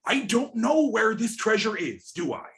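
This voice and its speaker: Long John Silver, animated old pirate. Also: male voice, sits high, creaky, exaggerated voice. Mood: scheming, impatient. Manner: folksy sailor talk, drawn-out storytelling. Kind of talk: radio play reading. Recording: remote video-call reading.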